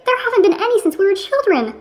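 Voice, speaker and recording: A woman's voice: very high-pitched, sing-song, fast talker. A woman acting in a radio play as a pompous, irritable man who lectures a friend in an indignant, condescending way. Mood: exasperated.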